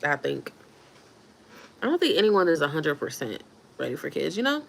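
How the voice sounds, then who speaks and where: Casting note: thirties woman, lowish voice, loose chatty livestream host answering comments. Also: big pitch swings, normal speed, gravelly. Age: 30s. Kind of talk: casual livestream chat.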